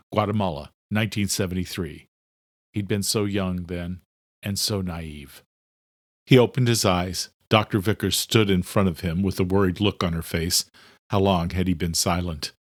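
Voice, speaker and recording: A man's voice: low voice, no echo, studio quality. A man narrating a thriller audiobook from a script, as the storyteller between lines of dialogue.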